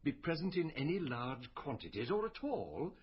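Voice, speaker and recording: A muffled radio drama recording of a brooding male medical detective. His husky voice moves in lively swings as he probes and muses deliberately, with a poetic bent. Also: fairly high pitch, full character voice. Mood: probing. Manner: questioning, deliberate, musing. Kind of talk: naturalistic radio drama acting.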